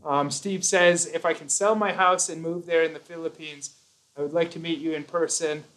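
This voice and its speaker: A man's voice: on the high side, steady tone, moderate pace, a bit bright, poor audio. Chatty man hosting a live stream. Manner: chatty, rambling, self-correcting, jokey. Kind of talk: casual live chat reading viewer comments.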